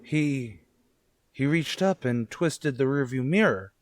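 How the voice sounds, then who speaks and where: A man playing an anxious, apologetic condemned prisoner in a radio drama, halting and stammering meekly. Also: mid-range voice, lively intonation. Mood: anxious, straining.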